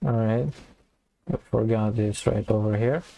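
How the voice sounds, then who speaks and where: A man teaching a coding lesson, thinking aloud and restarting phrases as he types, his voice slightly hoarse. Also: fairly low pitch, fairly even intonation, a little slow, highs cut off. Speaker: programming tutor. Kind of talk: casual screen-recorded coding tutorial.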